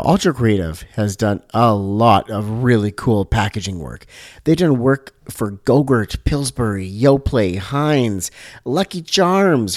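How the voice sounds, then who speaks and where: A man's voice: mid-range voice, darkish tone, dry recording, clean studio sound. A man hosting a design podcast, upbeat and enthusiastic as he introduces and interviews a guest. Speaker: podcast host.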